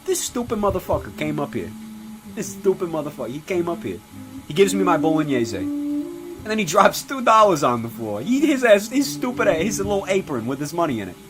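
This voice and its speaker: A man playing a crude, foul-mouthed old Italian-American mobster who belittles people and rambles with sudden loud outbursts. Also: a little high, big pitch swings, a little fast. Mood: ranting. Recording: music playing clearly behind.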